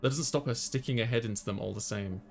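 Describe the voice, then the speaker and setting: A man's voice: medium pitch, quick pace, vocal fry. A man hosting a gaming video, reading visual novel text aloud and adding casual asides.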